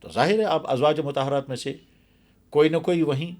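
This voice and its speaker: Guy cleric doing a religious explainer, bit higher pitched, earnest and emphatic, repeats himself for stress. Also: moderate pace, darkish tone. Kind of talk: explanatory religious talk in an interview.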